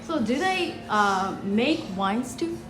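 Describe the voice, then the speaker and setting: A woman asks her guest questions in a bright, friendly way and laughs easily. Her voice sits a little high. The room is echoey, with music playing behind her. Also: big pitch swings, slow pace. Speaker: host. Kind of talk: casual interview.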